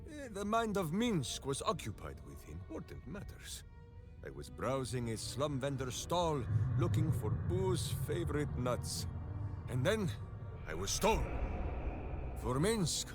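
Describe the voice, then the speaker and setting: A man's voice: fairly high pitch, sing-song, measured pace. Big simple hero warrior for a game, super dramatic n righteous, voice swings all over, takes his time, music behind. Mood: blustering, earnest. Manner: theatrical, grandiose, speaks of himself in third person. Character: big simple-hearted heroic male warrior, boisterous and righteous. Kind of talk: video game character acting.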